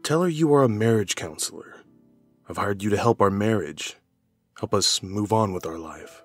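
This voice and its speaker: An actor playing an irritable, evasive husband in an audio horror drama, with a husky voice. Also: male voice, lowish voice, lively intonation, normal speed, faint music behind. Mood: pleading, uneasy.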